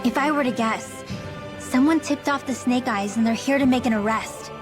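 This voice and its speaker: Woman doing a feisty young girl in a game, kinda high voice, pointed, music behind. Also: normal speed, lightly acted. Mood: earnest. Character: feisty, resentful young village girl, quick to bicker. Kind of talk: video game cutscene acting.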